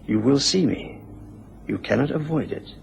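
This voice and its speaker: A man's voice: medium pitch, expressive, moderate pace, vocal fry, slight background noise. An actor playing a proud, defensive Englishman of influence in a radio drama, stiff and guarded in manner. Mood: uneasy, shaken.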